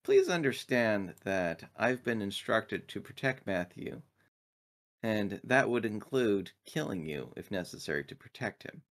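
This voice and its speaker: A man's voice: fairly low pitch, sing-song, unhurried, a bit bright, full character voice. A man voicing an earnest, protective female android in formal, precise phrasing for a tabletop sci-fi game.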